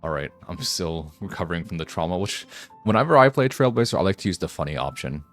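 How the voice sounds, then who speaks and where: A man's voice: low voice, expressive, quick pace, faint music behind. A man doing casual live gameplay commentary as a streamer, rambling and thinking aloud, close to the microphone. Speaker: game streamer.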